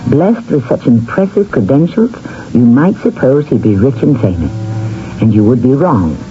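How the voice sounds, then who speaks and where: A man hosting and narrating a radio mystery drama in a deliberate, theatrical, wry manner. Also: medium pitch, big pitch swings, warm tone. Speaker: host and narrator. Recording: dull vintage radio broadcast, music underneath.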